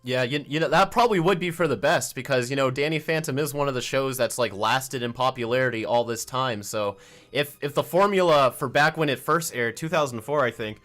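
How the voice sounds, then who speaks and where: A man's voice: medium pitch, brisk, fairly bright tone, soft background music. A man in his twenties hosting a casual fan interview, informal and a little hesitant, repeating words as he phrases thoughts. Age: 20s. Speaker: interviewer, fan show host. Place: home office.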